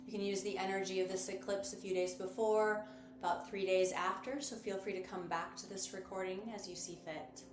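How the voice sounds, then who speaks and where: A woman narrates a guided meditation in a mid-pitched voice. Her delivery is measured and instructive, with gentle encouragement. The sound is noticeably roomy, with music underneath. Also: flat delivery. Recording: roomy echo with music behind.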